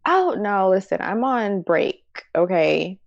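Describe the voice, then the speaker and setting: A woman's voice: medium pitch, sing-song, takes it slowly, creaky, dry recording, muffled recording. A young American woman from the Atlanta area, chatting casually as a playfully insistent, giggly podcast guest. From Atlanta area, United States. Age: young adult. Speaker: guest sports writer. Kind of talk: casual chat.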